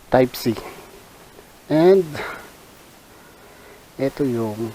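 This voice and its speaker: A Filipino man talks through a product in a soft-edged, relaxed tone. His delivery is unhurried and halting, as if he is thinking aloud while he reviews. A faint hiss sits behind his voice. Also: medium pitch, poor audio. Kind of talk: casual unboxing commentary. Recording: hissy background noise.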